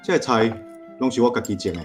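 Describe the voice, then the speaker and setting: A proud, strict old Taiwanese elder acted out for radio drama. The voice is mid-pitched and blunt, with faint music behind it. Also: quick pace, lightly acted. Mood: hospitable, proud.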